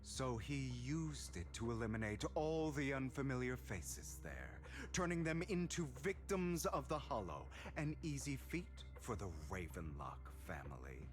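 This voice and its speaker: An actor playing a proud, troubled young avenger in a video game, with intense theatrical phrasing. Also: male voice, medium pitch, big pitch swings, a little slow, hardly any pauses, soft background music. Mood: bitter, defensive. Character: proud, troubled young male avenger haunted by his bloodline.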